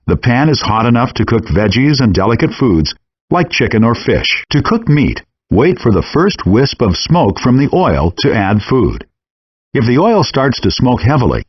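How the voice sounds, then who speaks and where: A video game chef explaining kitchen tips step by step, bouncy pitch, kinda muffled lofi sound. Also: male voice, husky. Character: male chef guide giving practical kitchen tips. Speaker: cooking instructor. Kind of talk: scripted instructional narration. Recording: dull lo-fi game audio.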